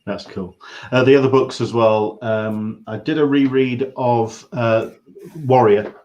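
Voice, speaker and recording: Rambling, halting English man hosting. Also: mid-range voice, steady tone, darkish tone, dull audio. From England. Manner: rambling, halting, self-interrupting. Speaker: interview show host. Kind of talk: casual livestream interview chat.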